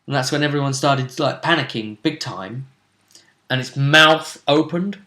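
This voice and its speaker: Guy running a tabletop rpg show, bright voice, takes his time, jokey and a bit theatrical. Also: medium pitch, unhurried. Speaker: game master and host. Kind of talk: casual recap of a tabletop role-play game.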